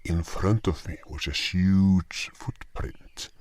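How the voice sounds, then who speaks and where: A man's voice: low-pitched, fairly even intonation, slow pace, darkish tone. A man reading scripted first-person narration for a fantasy audio story.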